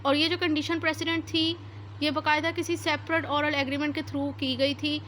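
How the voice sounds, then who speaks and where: A woman's voice: high-pitched, flat delivery, normal speed, a bit bright, slight background noise. A woman with a Pakistani accent giving an explanatory law lecture, going step by step with repetition and mixing in English legal terms. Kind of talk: explanatory lecture, alternating read-out statutes with explanation.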